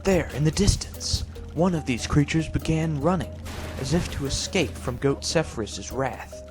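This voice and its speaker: Deadpan man with lightly high voice, music behind. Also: normal speed. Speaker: narrator of a gameplay video. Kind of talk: improvised mock-storybook gameplay narration. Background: clear background music.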